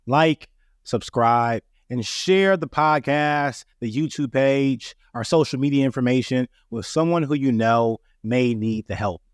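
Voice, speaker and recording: A man hosting an instructional podcast, his voice raspy from a cold, talking in an earnest, explaining way. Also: medium pitch, measured pace, no room sound, studio quality. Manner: earnest, repeats "right?" and "that being said". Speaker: podcast host. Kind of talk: casual instructional podcast talk.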